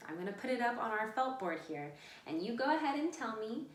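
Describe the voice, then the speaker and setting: A woman's voice: a little high, very animated, brisk. A woman hosting an interactive storytime for young children, encouraging them and giving simple instructions. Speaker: children's library storytime host. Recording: echoey room sound.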